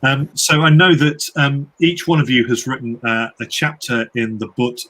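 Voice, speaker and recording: A man moderating an informal live online discussion, with a halting, hesitant way of phrasing things. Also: mid-range voice, flat delivery, fairly bright tone, poor audio. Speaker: host, panel moderator.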